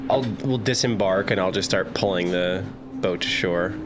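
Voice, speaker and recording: A man with a low voice plays a tabletop role-playing game. His talk is informal and slangy. Music is clearly audible behind him. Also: normal speed. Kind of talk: casual chat during a role-playing game. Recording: background music under the voice.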